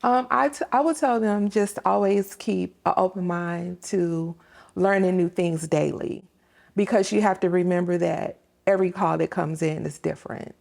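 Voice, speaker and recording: Low, creaky-voiced woman explaining her job. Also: fairly even intonation, moderate pace, a little mellow. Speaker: police dispatcher, interview guest. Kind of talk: conversational interview answers.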